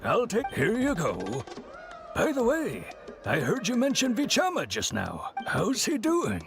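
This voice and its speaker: A woman's voice: medium pitch, wildly swooping pitch, measured pace, over music. A woman voicing an affable, gossipy traveling merchant in a video game, with a folksy, conversational manner. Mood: pleased.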